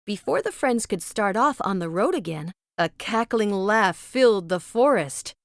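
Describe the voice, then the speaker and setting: A woman narrating a read-along children's storybook with expressive, dramatic storytelling. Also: medium pitch, unhurried, no echo, studio quality.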